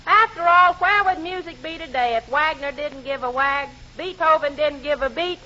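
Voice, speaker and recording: An actress playing a brash, folksy, wisecracking American gal with slangy clowning delivery in 1940s radio comedy. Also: female voice, high voice, normal speed, sharp tone. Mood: playful. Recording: very noisy, dull old radio recording.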